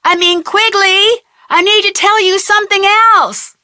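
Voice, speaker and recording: A woman playing an inquisitive, polite and persistent young American amateur detective, speaking scripted adventure game dialogue in an earnest, expressive way. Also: very high-pitched, a little slow, no room sound, clean studio sound, exaggerated voice. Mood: calling out. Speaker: video game voice actress.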